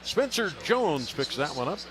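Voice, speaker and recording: A man's voice: fairly high pitch, big pitch swings, measured pace. An American man working as a radio announcer, giving live basketball play-by-play in short, clipped phrases. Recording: loud arena noise behind. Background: arena ambience, bouncing basketball.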